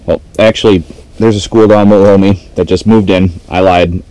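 A man giving low-key, casual running commentary to himself as he fishes, recorded on a body-worn action camera. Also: fairly even intonation, moderate pace. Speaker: fishing vlogger. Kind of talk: casual running commentary while ice fishing. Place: small ice-fishing shelter on a frozen lake, sometimes out on open ice. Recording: dark muffled sound, background noise.

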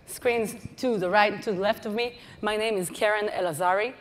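A woman with an Israeli accent gives a conference talk in a big hall, her voice trailing into a long reverb. She teases and jokes with the crowd. Her pitch sits in a middle range. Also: fairly even intonation, fairly quick. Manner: playful, teasing the audience with flight-attendant jokes. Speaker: conference speaker. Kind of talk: lively keynote presentation. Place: large conference hall stage.

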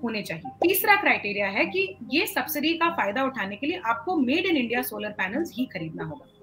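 A woman's voice: high voice, big pitch swings, a little fast. A young woman with an Indian accent, explaining informatively as an expert guest on a video call and mixing in English words. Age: young adult. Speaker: solar company representative, expert guest. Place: indoor furnished room.

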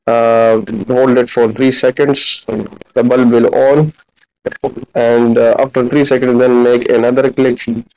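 A man in his thirties giving a halting, instructional practical demonstration as a physiotherapy teacher. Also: mid-range voice, little pitch movement, darkish tone. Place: large indoor classroom clinic room. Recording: dull, lo-fi camera audio.

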